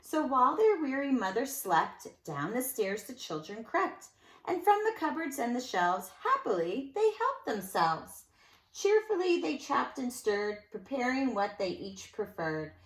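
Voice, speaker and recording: A woman reading a picture book aloud to young children in an expressive storytelling style, with dramatic emphasis and conversational asides. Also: a little high, unhurried. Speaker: nursery school teacher reading a storybook aloud. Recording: echoey room sound.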